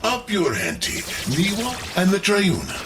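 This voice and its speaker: A man playing a proud, defiant renegade idealist in a video game, speaking gravely and formally. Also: sits high, huge pitch swings, a little slow, very noisy, exaggerated voice. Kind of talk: video game drama acting. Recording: loud music behind the voice.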